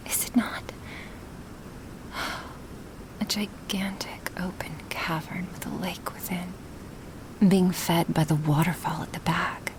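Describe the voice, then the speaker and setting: Woman voicing a half-whispering, unhurried gentle dragon girl. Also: on the low side, low-quality recording. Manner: slow, formal, careful phrasing. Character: gentle, apologetic female dragon, formal and tender. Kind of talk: soft-spoken ASMR roleplay.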